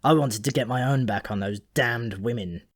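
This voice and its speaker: A man's voice: medium pitch, normal speed, close to an everyday voice. Male narrator as sour dwarf prince, dry, sneering and grumbling, for a comic fantasy storybook. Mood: aggrieved. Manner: dry, scornful, complaining.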